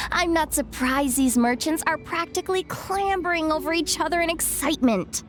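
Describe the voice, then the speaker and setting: A woman's voice: high voice, moderate pace, natural voice. A woman voicing a street-smart young female informant in a video game cutscene, wry, self-assured and conversational. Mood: scolding, firm. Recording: music behind the voice.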